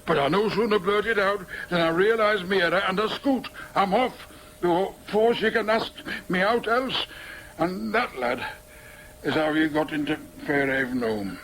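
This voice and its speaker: A man's voice: sits high, normal speed, fairly bright tone, slight background noise, rough recording. An actor in a radio drama playing an older working-class Yorkshireman, chatty and matter-of-fact as he reminisces in broad colloquial speech. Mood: animated reminiscing.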